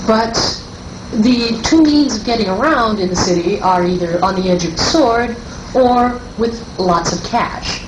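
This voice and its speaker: Woman, game designer, measured and unhurried explainer in a round-table discussion; echoey, hissy cassette sound. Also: fairly high pitch. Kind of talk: group interview discussion. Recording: noisy roomy 1982 cassette tape.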